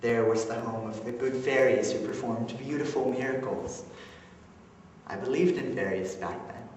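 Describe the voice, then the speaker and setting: A man playing a dreamy, melancholy young farm man in a hushed, halting stage monologue. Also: mid-range voice, close to an everyday voice. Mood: wistful, dreamy. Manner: hushed, pensive, halting delivery. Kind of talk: theatrical dramatic monologue. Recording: echoey theater recording from far off, noisy.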